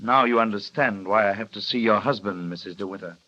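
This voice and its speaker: An actor playing a dutiful middle-aged harbour master in an old radio drama, tactful and hesitant. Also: male voice, on the low side, fairly quick, muffled recording, heavy background noise, lightly acted. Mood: grave.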